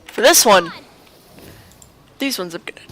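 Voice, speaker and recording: A woman's voice: medium pitch, animated, unhurried, fairly bright tone. A woman streaming a video game with casual, playful commentary, chattering to herself and exclaiming as she plays.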